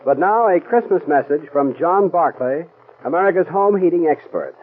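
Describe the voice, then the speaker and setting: A man announcing a 1940s radio drama in a crisp, formal manner. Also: a little high, animated, normal speed, phone-quality sound, a little hiss or hum. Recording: old radio broadcast with music.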